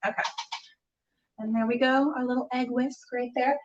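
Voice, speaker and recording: A woman's voice: sits high, fairly even intonation, normal speed, noisy background. A woman hosting a casual cooking show, chatting playfully and self-deprecatingly in a rambling way as she works. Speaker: host of a cooking and interview web show. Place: home kitchen, cooking at a hot plate. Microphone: phone or camera set back from speaker. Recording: roomy, distant, slightly dull home recording.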